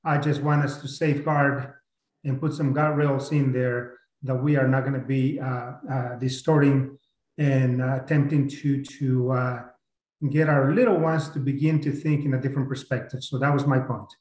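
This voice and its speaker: A man speaking as a county commissioner at a public meeting, earnest and halting, often restarting his sentences. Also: mid-range voice, little pitch movement, darkish tone. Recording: roomy meeting room sound.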